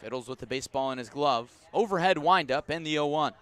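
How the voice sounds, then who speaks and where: Higher-voiced man calling baseball. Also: a bit bright. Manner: descriptive, measured between plays, punchy calls on action. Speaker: baseball play-by-play radio announcer. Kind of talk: live sports commentary. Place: outdoor ballpark broadcast.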